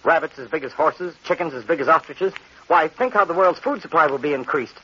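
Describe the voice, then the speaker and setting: An actor playing a young, ambitious, ruthless scientist with intense, melodramatic 1940s radio delivery. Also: male voice, fairly high pitch, brisk, sharp tone, husky. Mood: eager, intense. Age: young adult. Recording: muffled vintage 1940s radio recording.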